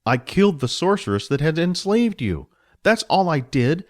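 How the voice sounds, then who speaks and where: A man's voice: on the high side, sing-song, moderate pace, darkish tone, voice pushed up. A man voicing an earnest, confused young man who tries to reassure others.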